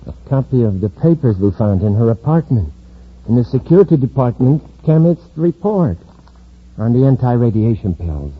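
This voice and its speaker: An actor playing a menacing criminal in an old-time radio serial, curt, bossy and threatening. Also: male voice, mid-range voice, big pitch swings, moderate pace, breathy edge. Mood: coldly businesslike. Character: menacing male criminal gang member. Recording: muffled vintage radio recording.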